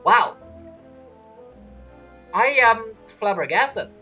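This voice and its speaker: Lowish husky girl streamer, chatty reactions, really animated, faint game music behind. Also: very slow. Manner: expressive, bantering, repeats words. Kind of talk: casual reaction commentary over gameplay. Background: video game music.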